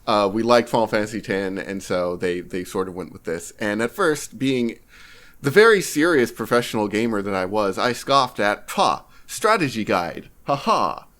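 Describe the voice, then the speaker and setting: A man's voice: fairly low pitch, lively intonation, moderate pace, fairly bright tone. A man co-hosting a podcast, chatting casually about games in a wry, self-mocking way. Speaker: podcast co-host. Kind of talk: casual anecdotal game talk.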